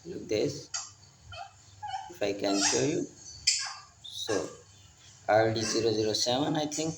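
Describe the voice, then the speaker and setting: Slow, halting man with a creaky voice. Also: on the low side, reverberant, very noisy. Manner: halting, fragmented phrases with repeated pointers. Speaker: electronics repair instructor. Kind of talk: unscripted hands-on repair demonstration.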